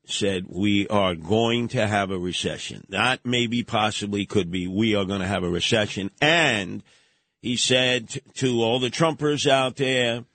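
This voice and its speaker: A man co-hosting a morning radio show, asking questions in a brisk, conversational way. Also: on the low side, a bit bright, no room sound. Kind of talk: casual radio talk.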